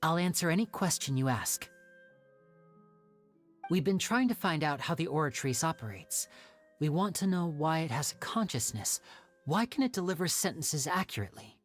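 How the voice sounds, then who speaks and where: An actor playing a polite, earnest young male stage magician in an anime game, measured and articulate. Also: on the high side, big pitch swings, faint music behind. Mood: explaining. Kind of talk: anime game dialogue acting.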